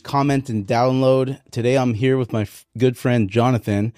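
A man's voice: medium pitch, steady tone, moderate pace, a little mellow, no echo, clean studio sound, faint music behind. A man hosting a podcast, chatting with a friend and prompting him with easy questions. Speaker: podcast host. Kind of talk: casual interview chat.

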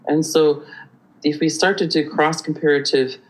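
A man from Hawaii, a podcast guest telling stories in an animated, rambling way with many restarts. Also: a little high, normal speed, low-quality recording. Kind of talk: conversational storytelling.

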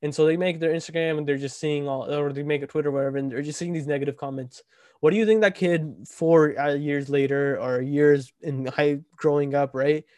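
Young male podcast host, 20, loose filler-heavy rambling, higher-pitched, dry and close-miked. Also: fairly even intonation, brisk, a little mellow, no room sound. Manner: rambling, filler-heavy, keeps asking "right?". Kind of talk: casual podcast chat.

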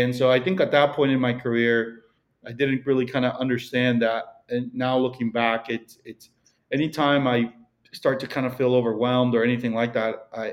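A man with a Mexican accent, a real estate broker talking as a guest in a casual interview, rambling with many fillers and restarts. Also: medium pitch.

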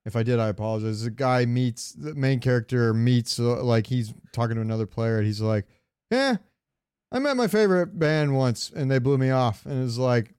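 A man's voice: mid-range voice, expressive, moderate pace, a little mellow, smooth, dry recording, studio quality. A man co-hosting a casual gaming podcast, talking in a rambling way with meandering asides. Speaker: podcast co-host.

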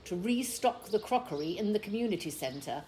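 A woman's voice: medium pitch, animated, moderate pace, mellow, slight background noise, close to an everyday voice. A woman acting in an audio play as a prim, easily offended older English village wife, scolding with precise, haughty British diction.

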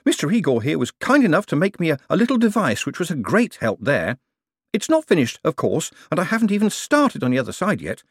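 A man voicing an excitable male craftsman who talks eagerly and breathlessly. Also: fairly high pitch, a little fast, slightly rough, dry recording, studio quality, voice pushed up, exaggerated voice. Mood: chatty.

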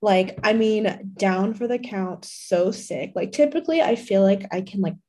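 Hoarse young woman, chatty podcast host. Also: medium pitch, lively intonation, a little slow, warm tone. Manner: chatty, many fillers, laughs often. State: slightly hoarse from a recent illness. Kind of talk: casual solo podcast talk.

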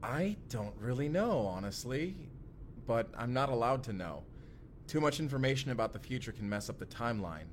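An actor playing an earnest, secretive young time traveler in an audio drama, speaking sincerely and casually with hesitant pauses. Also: male voice, mid-range voice, very animated, a little hiss or hum, natural voice. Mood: cautious, confiding. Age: young adult. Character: earnest, secretive young man from the future named Benji. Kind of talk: immersive audio drama roleplay acting.

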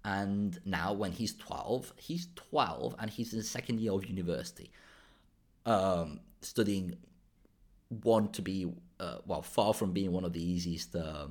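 A man's voice: low-pitched, a little slow, darkish tone. A man from southern Spain hosting a solo podcast, talking casually with many restarts and frequent tag questions. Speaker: podcast host.

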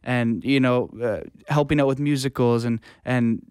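A young man talks as an interview guest, telling stories in a casual, unpolished way. His voice is clean and smooth at a middle pitch. The sound is dry, with hardly any room on it. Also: normal speed, darkish tone, no echo. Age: young adult. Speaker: interview guest, audio engineer. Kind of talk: casual conversational storytelling.

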